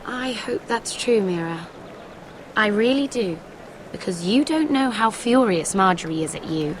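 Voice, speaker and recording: An actress playing an anxious, gossipy young handmaiden in a game, speaking in a plaintive, confiding way. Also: female voice, mid-range voice, animated, unhurried, slight background noise, close to an everyday voice. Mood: confiding, earnest. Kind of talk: dramatic game cutscene acting.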